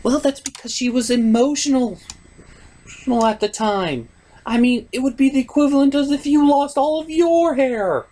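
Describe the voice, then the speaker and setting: A man's voice: very high-pitched, normal speed, dark tone, voice pushed up. A man voicing an argumentative, exasperated female puppet sidekick in a comedic review. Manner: emphatic, exasperated bickering.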